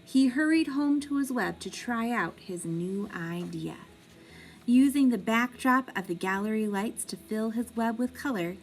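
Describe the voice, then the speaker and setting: Expressive higher-voiced woman reading a storybook. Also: poor audio. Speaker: teacher reading a picture book aloud. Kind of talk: expressive children's storybook read-aloud.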